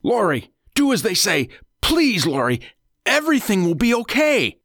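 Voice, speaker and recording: A man's voice: high voice, wildly swooping pitch, normal speed, a bit bright, no echo, clean studio sound, voice pushed up, heavily acted. A man voicing a desperate, terrified husband pleading as a captive in an audiobook thriller. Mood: panicked, pleading.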